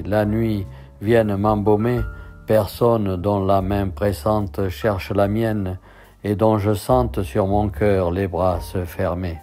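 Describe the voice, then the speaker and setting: A man reads poetry in a measured, deliberate literary recitation. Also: lowish voice, a little mellow. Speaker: poetry reader. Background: instrumental music.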